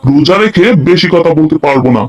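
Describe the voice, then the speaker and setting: A man's voice: fairly high pitch, fast talker, faint music behind, lightly acted, poor audio. A man voicing a rude, dismissive grump in a children's cartoon story. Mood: irritated, dismissive.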